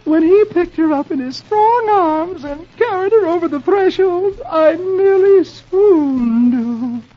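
A woman's voice: very high-pitched, lively intonation, takes it slowly, full character voice. A woman voicing a breathless young female neighbor who gushes rapturously about romance, in a 1940s radio comic-strip reading. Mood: gushing. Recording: lo-fi old radio recording.

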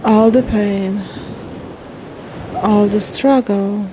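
A woman leads a guided meditation at a very slow pace, leaving long pauses between short phrases. Her delivery is soothing and sits at a medium pitch. A clear wash of ocean waves and wind runs behind her voice. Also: steady tone.

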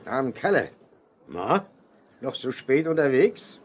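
Bluff small-town policeman, jovial, teasing, lively intonation, radio play, noisy street ambience. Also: mid-range voice, measured pace. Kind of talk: 1970s German radio play acting.

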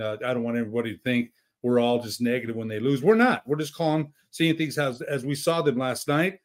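Middle-aged man giving sports commentary. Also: medium pitch. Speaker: sports podcast panelist. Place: home room, indoors.

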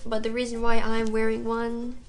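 A woman's voice: on the high side, completely flat delivery, normal speed, a little mellow, faint music behind. A teenage girl talking casually to her webcam at home, explaining a beginner workout. She is getting over a cold and tosses in lots of "you guys". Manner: chatty, says "you guys" often, light laughs. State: recovering from a cold. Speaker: figure skating vlogger. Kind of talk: casual tutorial talk to camera. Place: carpeted basement room at home.

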